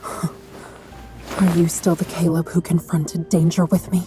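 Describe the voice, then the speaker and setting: A woman's voice: on the low side, flat delivery, takes it slowly, mellow, soft background music. An actress playing a defiant, independent young woman in a dramatic video game scene, guarded and challenging in manner. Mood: fed up.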